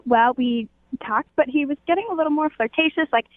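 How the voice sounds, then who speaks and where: A woman's voice: sits high, brisk, no echo. A young woman calling in to a radio show, chatting casually in a girlish, giggly, gushing way over a narrow phone line. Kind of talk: casual chat. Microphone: telephone.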